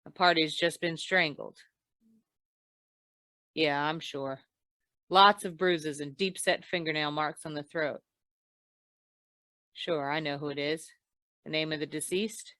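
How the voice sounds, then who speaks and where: A woman's voice: sits low, fairly even intonation, slow, long pauses, fairly bright tone, no room sound, heavily acted. A woman playing a hard-boiled, wisecracking insurance investigator in an old-time radio noir, with a dry, clipped delivery. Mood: brisk.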